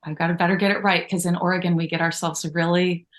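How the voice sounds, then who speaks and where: A middle-aged woman with a somewhat low voice talks through her story in an unhurried interview. She keeps adding asides and thanks people as she goes. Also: flat delivery. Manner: warm, grateful, storytelling with many asides. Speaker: magazine co-founder, guest. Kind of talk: conversational interview.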